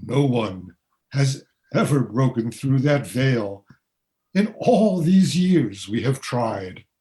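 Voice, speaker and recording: A man playing a cautious, formal man of times past with dry dark humor in a radio play. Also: medium pitch, expressive, slow pace. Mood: musing, wry. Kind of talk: period radio play acting.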